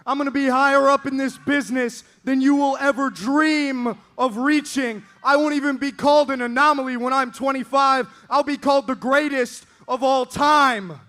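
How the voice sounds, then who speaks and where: A teenage man cutting an arrogant wrestling promo in an indoor arena, boasting and yelling at the crowd. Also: extremely high pitch, fairly even intonation, normal speed, few pauses. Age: teens.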